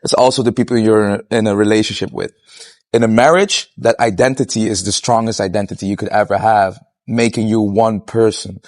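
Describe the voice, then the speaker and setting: A young man from Curacao talking outdoors on a city street as an interviewee, relaxed and blunt, swearing freely and laughing as he talks. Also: fairly low pitch, fairly quick. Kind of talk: casual street conversation.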